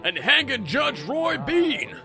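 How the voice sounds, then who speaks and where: A man's voice: very high voice, sing-song, takes it slowly, bright, forceful tone, faint music behind, exaggerated voice. A man acting a scheming, vengeful riverboat saloon owner as a theatrical villain in a fan comic dub. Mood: gloating, excited.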